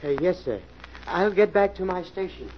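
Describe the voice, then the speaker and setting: A man's voice: high voice, lively intonation, normal speed, slight background noise. A man playing a nervous, servile nightclub waiter in 1940s radio style, hesitant, stammering and deferential. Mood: anxious. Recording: muffled old radio recording, music behind.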